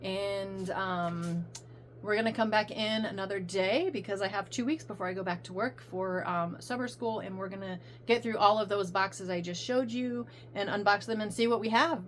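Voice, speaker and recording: A middle-aged woman from Virginia chatting casually to viewers in a rambling, self-correcting way while her voice goes hoarse. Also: medium pitch, fairly quick, a little hiss or hum. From coastal Virginia, US. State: voice going hoarse. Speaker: reseller vlogger. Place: home room with piles of stuff. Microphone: phone on a ring light.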